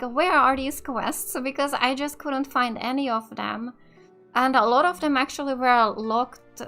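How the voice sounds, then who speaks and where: A woman's voice: sits high, pushed and bright. A woman from Finland with a Finnish accent giving casual, slightly hesitant let's play commentary as a gaming YouTuber. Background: game music.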